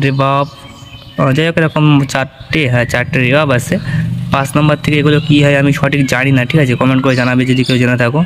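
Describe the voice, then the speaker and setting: A man's voice: mid-range voice, noisy background. A man giving an informal gear walkthrough, explaining step by step and often checking that the listener follows. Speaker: audio gear reviewer.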